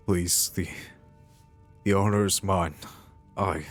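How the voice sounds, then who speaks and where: A man's voice: sits low, fairly even intonation, extremely slow, soft background music. A man playing a humble, earnest warrior hero in a fantasy game roleplay, speaking hesitantly and sincerely. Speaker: roleplay player.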